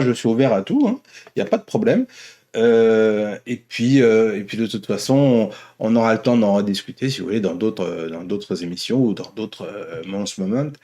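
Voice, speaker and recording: A man's voice: medium pitch, warm tone. A man hosting an online vlog, talking off the cuff in a casual, rambling way, often repeating and rephrasing his words. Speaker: host of an online opinion vlog. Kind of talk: casual unscripted opinion monologue.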